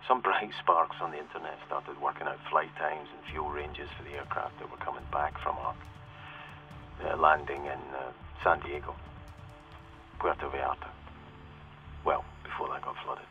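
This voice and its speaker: A man playing a weary, cynical security corporal in a video game, recording a halting, rueful audio diary as a survivor of a flooded world. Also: very deep voice, fairly even intonation, highs cut off, faint music behind. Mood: wry, bitter. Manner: halting, rueful, confiding, with pauses and self-corrections. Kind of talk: in-character audio diary monologue, dramatic game acting.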